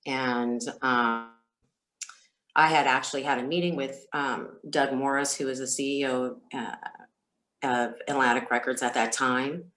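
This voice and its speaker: A woman who is a singer telling stories as an interview guest, speaking casually and candidly in a halting, reflective way. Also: very deep voice, steady tone, a bit bright. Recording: roomy, echoey sound.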